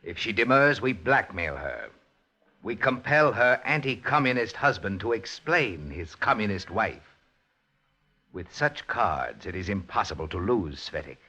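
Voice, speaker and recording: A domineering, menacing party boss in old radio drama style. The voice is deep and gravelly, swinging widely in pitch, with formal, sardonic commands. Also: male voice, sing-song, measured pace, bright, forceful tone, exaggerated voice. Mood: threatening. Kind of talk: 1950s radio drama acting.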